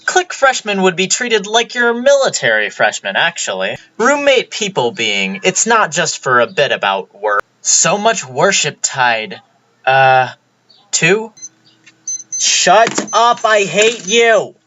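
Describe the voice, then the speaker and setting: A young adult vlogger reads nonsensical text aloud in a bright voice. The delivery swings up and down in a lively way, and the sound is slightly dull and lo-fi. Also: mid-range voice, slow pace. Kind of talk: deliberately reading a garbled transcript aloud.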